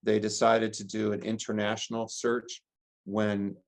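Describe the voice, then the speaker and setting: An American man, a middle-aged priest, giving earnest, gentle interview answers and listing ideas in long chains. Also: lowish voice, completely flat delivery, unhurried. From United States. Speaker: priest and rector, interview guest.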